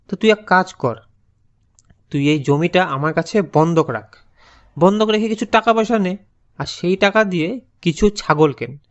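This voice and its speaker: A man playing a scheming older village moneylender in a cartoon, drawling and patronizing as he coaxes. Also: sits high. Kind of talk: cartoon character dialogue. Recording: dull lo-fi voice over faint music.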